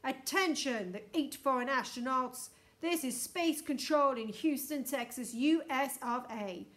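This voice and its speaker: A woman voicing an angry, urgent Space Control announcer in a children's storybook, booming loudly and commandingly. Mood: stern, demanding. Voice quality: booming, very loud. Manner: urgent, commanding, drawn-out shouted orders.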